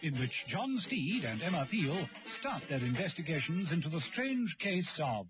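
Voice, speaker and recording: A man's voice: a little high, sing-song, moderate pace. A man narrating a radio serial in a dramatic, suspenseful and precise scripted style. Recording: dull lo-fi old radio recording. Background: dramatic music.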